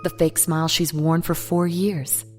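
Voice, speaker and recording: A woman's voice: sits low, level tone, a little slow. A woman reading scripted, dramatic fiction narration in the present tense, with music behind her. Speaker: story narrator.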